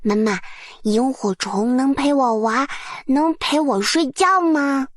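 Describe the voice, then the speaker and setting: A woman's voice: high voice, slow pace, no room sound. A woman voicing a young, curious, eager puppy in a children's storybook, with a cute childlike voice and wide-eyed, earnest phrasing.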